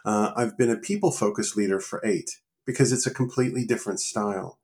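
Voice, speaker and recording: Relaxed middle-aged American man. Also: on the low side, a little fast. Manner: relaxed, joking, frequent self-restarts. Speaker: guest, engineering leader. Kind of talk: casual interview talk.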